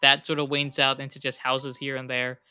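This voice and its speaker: A man's voice: medium pitch, completely flat delivery, moderate pace, pushed and bright, clear, resonant tone. A young man narrating a tabletop game as game master, in a casual style with many fillers.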